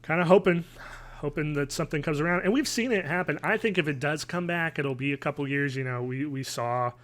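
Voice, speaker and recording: A man's voice: fairly high pitch, brisk, fairly bright tone. A man in his thirties talking casually and conversationally as a podcast co-host, speaking from a room at home on a video call. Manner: informal, conversational. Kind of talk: casual chat, reviewing TV shows.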